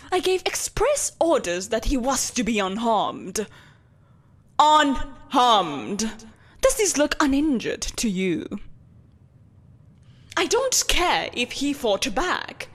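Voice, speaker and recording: A woman's voice: on the high side, full character voice. A woman playing a malevolent, possessive empress in a dramatic audio roleplay, imperious and theatrical, with mocking sweetness. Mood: outraged, commanding.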